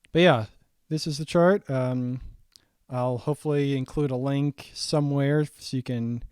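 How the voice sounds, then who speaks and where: A young man walking through his own saxophone arrangement in a casual, halting way, full of ums and restarts, his voice getting raspy. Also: medium pitch, a little mellow, no room sound, studio quality. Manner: halting, many ums and restarts, thinking aloud. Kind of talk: casual screen-share breakdown.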